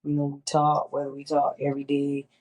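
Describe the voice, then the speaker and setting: A woman's voice: deep voice, completely flat delivery, a little fast, a little mellow. A middle-aged woman, congested, talking candidly and emphatically in a casual sit-down interview. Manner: candid, emphatic, repeats words for stress. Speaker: interviewee sharing her chemo experience.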